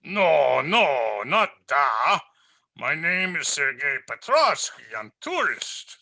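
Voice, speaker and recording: An actor playing a brusque, bossy Russian tourist in an old-time radio comedy, speaking clipped broken English with a Russian accent. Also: male voice, a little high, big pitch swings, slow pace, creaky, sharp tone, full character voice. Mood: brusque, insistent. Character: brusque Russian tourist posing as American, photographing everything.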